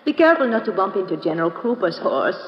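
An actress playing a refined woman who works at a museum and falls under suspicion, speaking in a genteel, expressive 1940s radio-drama manner. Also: medium pitch, fast, natural voice. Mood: gracious. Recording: dull old radio broadcast with faint hiss.

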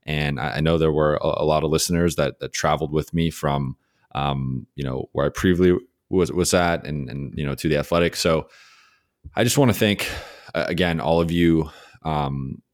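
Young male podcast host, extremely deep voice, halting and sincere, dry close mic. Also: no room sound. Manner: halting, many fillers and restarts, sincere. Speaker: podcast host, sports beat writer. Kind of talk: solo farewell podcast message.

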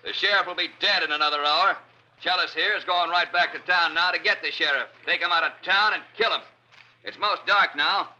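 A callous outlaw boss, high-pitched and piercingly forceful, handing out brusque orders. The radio western recording is muffled, with faint hiss. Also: male voice, a little fast. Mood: callous, commanding. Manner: brusque, commanding. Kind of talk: 1940s-50s radio western acting.

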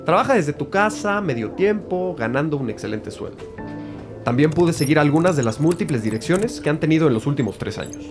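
Higher-voiced male police investigator. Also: lively intonation, fairly quick, music in the background. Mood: methodical. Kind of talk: scripted audio drama dialogue.

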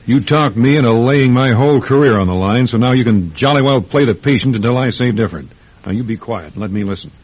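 An actor playing an indignant, conscience-stricken doctor in a classic radio drama, stern, weary and emphatic. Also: male voice, mid-range voice, lively intonation, fast, breathy edge. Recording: noisy, muffled old radio broadcast.

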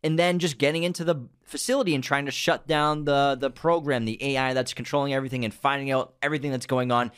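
Male co-host, medium pitch, casual and opinionated conversational delivery. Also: fairly even intonation, fast talker, hardly any pauses, a bit bright, smooth. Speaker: podcast co-host.

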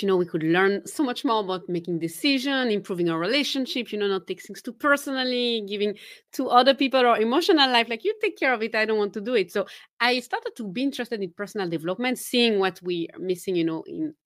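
A woman's voice: medium pitch, animated, fast, a bit bright, no room sound, clean studio sound. A woman from Geneva with a Swiss French accent, a native French speaker, chatting as a confidence coach guest, laughing often and restarting her sentences. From Geneva, Switzerland. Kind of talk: casual interview chat.

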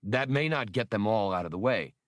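A man's voice: lowish voice, animated, a little fast, dry recording, clean studio sound, natural voice. A man voicing a cocky, hard-nosed loner space captain in an audiobook, with a wry, blunt delivery. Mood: scheming, keen.

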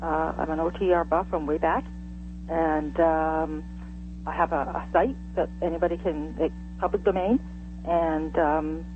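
A man from Canada calling in by phone, speaking casually and hesitantly. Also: fairly high pitch. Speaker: caller, old-time radio fan. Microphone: telephone. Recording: tinny phone line with hum and faint noise.

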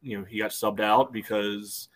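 A podcast co-host arguing a soccer call, with "you know" between nearly every phrase. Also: male voice, on the low side, fairly even intonation, normal speed. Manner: loose, fills with "you know" often. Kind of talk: casual sports debate.

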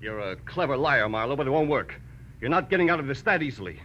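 An actor playing a jealous, indignant husband in a 1940s radio melodrama, agitated and menacing in a theatrical way. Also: male voice, medium pitch, animated, fast talker, dull audio, close to an everyday voice. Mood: angry, threatening. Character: jealous, indignant American husband holding a gun. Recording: faintly noisy old radio recording.

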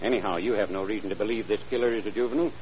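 An actor playing a steady, practical police chief, matter-of-fact and authoritative, in a vintage radio crime drama. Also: male voice, fairly low pitch, rapid-fire, lightly acted. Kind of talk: 1930s-40s crime radio drama acting. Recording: muffled old radio recording, faint hiss.

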